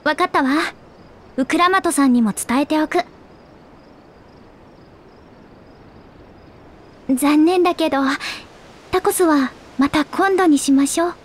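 A woman voicing a small, clever young girl scholar in a Japanese video game, calm and precise in her speech. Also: high-pitched, normal speed, natural voice. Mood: composed.

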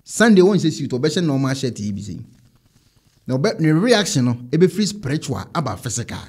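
A man in his thirties with a Ghanaian accent, giving animated commentary as an online show host into a close desk microphone. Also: on the high side, normal speed, breathy edge. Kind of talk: animated commentary on trending videos. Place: indoor home studio.

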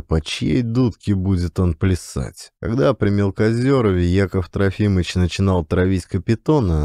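A man's voice: low-pitched, warm tone, no echo, studio quality. A man narrating a classic literary story as an audiobook actor.

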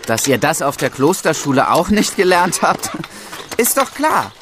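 A man's voice: on the high side, sing-song, a little slow, noisy background. A young man playing an eager, proud medieval squire who explains things to visiting children in an audio drama. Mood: amused, explaining. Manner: explanatory, enumerating.

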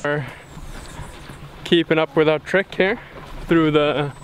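A young man narrating his sailing trip and walking a forest trail with a camera at arm's length, relaxed and easygoing. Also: a little high, takes it slowly, slight background noise. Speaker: sailing vlogger. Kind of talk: casual travel narration. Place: outdoors on a tropical island, forest trail. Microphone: handheld action camera at arm's length.

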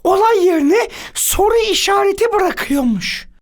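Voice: very high voice, lively intonation, a little slow. A performer voicing a small, eager, competitive and mischievous cartoon child with a bouncy, exclamatory manner. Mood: mischievous excitement. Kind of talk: preschool cartoon acting.

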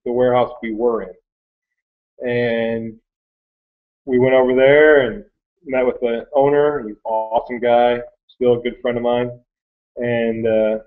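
A man's voice: mid-range voice, little pitch movement, takes it slowly, long pauses. A man in his thirties with an American accent, telling his story in a rambling, casual, conversational way. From Michigan, United States. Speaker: online reseller sharing his story. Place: small cluttered workroom indoors. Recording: thin phone-like webcam audio.

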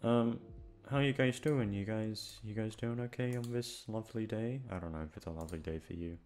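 Low-voiced, awkwardly rambling young-sounding man. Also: fairly quick, a little mellow, soft background music. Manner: awkward, self-deprecating, swearing, scattered. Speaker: audio roleplay voice actor, channel host. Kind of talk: casual rambling outro chat.

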